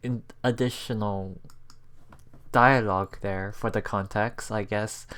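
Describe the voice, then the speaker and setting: A let's play host casually commenting on a visual novel and reading its lines aloud in a halting, chuckling way. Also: medium pitch, fairly even intonation, a bit bright, smooth. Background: visual novel background music.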